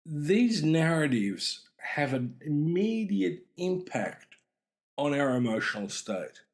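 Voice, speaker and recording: A middle-aged man hosts an explanatory talk on psychology, speaking deliberately and with emphasis. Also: mid-range voice. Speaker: podcast host and mental health speaker.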